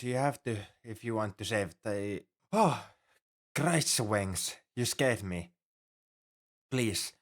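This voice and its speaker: Casting: male actor for a paranoid alien shipmate, lowish voice, formal and fretful, for a sci-fi roleplay audio drama. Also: bright, forceful tone. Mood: nervous, determined. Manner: fretful, formal, over-earnest, flustered. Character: anxious, paranoid male alien crewmate fussing over human.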